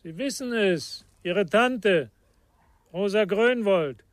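Higher-voiced, patient male investigator. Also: expressive, slow. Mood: insistent. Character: patient, probing male psychotherapist turned amateur investigator. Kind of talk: German radio crime drama acting.

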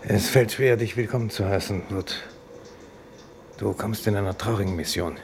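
Man acting low-voiced, stoic exiled doctor. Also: moderate pace, close to an everyday voice. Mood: dry, reserved. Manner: laconic, sober, matter-of-fact. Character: resigned stoic exile doctor on a harsh planet. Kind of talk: 1950s German radio play acting. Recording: noisy 1950s radio recording.

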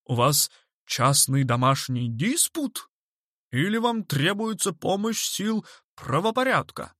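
A man's voice: high-pitched, sing-song, slow pace, a bit bright, no room sound, clean studio sound, pitched above the performer's own voice, full character voice. A man voicing a stern, officious police constable in a storybook, barking in formal officialese.

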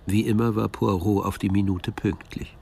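A man narrating a radio drama, telling the story in a measured, precise literary style. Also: lowish voice, steady tone, vocal fry, darkish tone, slightly rough. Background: music.

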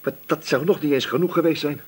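An actor in a radio play voicing a shrewd, patient police inspector who probes casually in a musing, hesitant way. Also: male voice, on the high side, fairly even intonation, fast talker, slightly rough. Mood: musing, assured. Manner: musing, hesitant probing with repeated questions. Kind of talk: radio drama dialogue.

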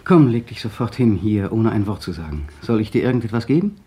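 An actor playing a tense, controlling husband in a 1960 German radio drama, clipped and insistent, sinking to a mutter. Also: male voice, lowish voice, sing-song, a little fast, dark tone. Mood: urging. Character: tense, controlling young husband pressing his wife.

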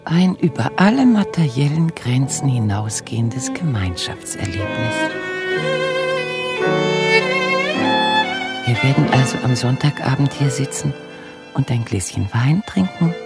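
An actress playing a genteel, earnest woman voicing her letter in formal, high-flown words for a radio drama. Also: animated, moderate pace, loud music behind the voice. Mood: earnest, solemn.

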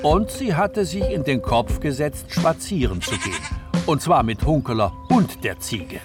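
An actor serving as a measured storyteller, a man narrating a detective novel for a radio play. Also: fairly high pitch, sing-song, over loud music. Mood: measured storytelling. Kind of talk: scripted literary narration for radio drama.

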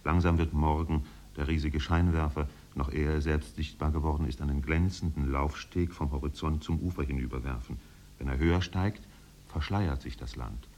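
An actor narrating a 1950s German radio play as a solemn, contemplative storyteller with measured, poetic delivery. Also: male voice, very deep voice, steady tone, a little mellow. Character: solemn, contemplative male storyteller of island exiles. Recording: faintly noisy 1950s radio recording.

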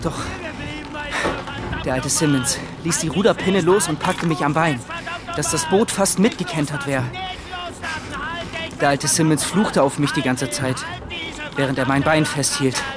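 Casting: man playing eager young deckhand in a radio play, higher male voice, halting and boastful, music and crowd bustle behind. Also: natural voice. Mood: boastful, excited. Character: boyish young sailor boasting of his rescue deed. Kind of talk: German radio drama acting.

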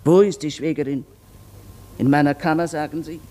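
An actress playing a pious, thrifty, unmarried older cook in a German radio play, brooding and curt. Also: female voice, low-pitched, steady tone, moderate pace, mellow, slight background noise, lightly acted. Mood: curt, wary.

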